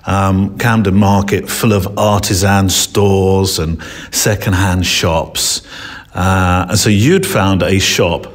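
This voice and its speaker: A man's voice: sits low, steady tone, slow. A man from Hertfordshire with a southern English accent, hosting a podcast with conversational questions and narration. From Hertfordshire, England. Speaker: podcast host.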